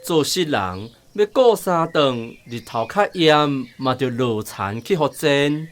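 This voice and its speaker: A man's voice: medium pitch, lively intonation, slow. Village farmer father, Taiwanese accent, plain-spoken, hearty, swooping intonation, radio drama. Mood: friendly, easygoing.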